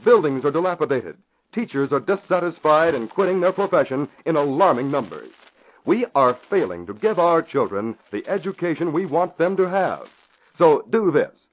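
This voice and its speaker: A man's voice: on the high side, sing-song, normal speed. An American man narrating and announcing in emphatic, formal 1940s radio style. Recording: dull vintage radio recording.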